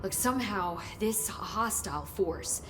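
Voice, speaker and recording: An actress playing an eager, brainy research assistant in a video game, chatty and effusive, rambling and halting in turns. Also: female voice, animated, natural voice. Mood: earnest, flustered. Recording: clear background rumbling noise.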